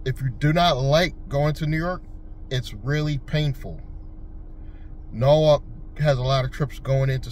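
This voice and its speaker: A man's voice: mid-range voice, a little hiss or hum. A man from Connecticut who drives for a rideshare service, talking to his viewers in a loose, rambling vlog style with frequent fillers and restarts. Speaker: rideshare driver and channel host. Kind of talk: casual vlog talk.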